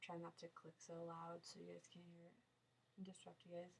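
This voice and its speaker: A woman's voice: lowish voice, monotone, a little fast, creaky, slight background noise, poor audio. A young woman with a nasal voice from a sinus cold, chatting casually while answering viewer questions on a live stream, rambling and laughing often. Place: home, small town.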